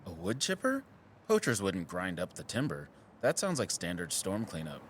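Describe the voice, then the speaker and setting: An actor playing a keen, suspicious amateur sleuth in a casual, excitable way in an audio drama. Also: male voice, low-pitched, moderate pace, a little hiss or hum, exaggerated voice. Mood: deflated, skeptical. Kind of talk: scripted audio drama dialogue.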